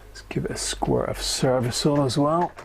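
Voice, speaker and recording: A British man giving casual, unhurried live commentary as he works at a repair bench, half muttering to himself. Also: a little high, vocal fry, slight background noise. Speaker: host of a live radio repair stream. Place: indoor repair workbench.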